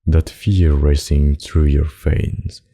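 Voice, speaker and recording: A man playing a centuries-old, possessive and sadistic vampire in an intimate ASMR roleplay, speaking in a husky, silky, dominant and condescending way. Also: a bass voice, flat delivery, takes it slowly, mellow, heavily acted. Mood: predatory, hungry.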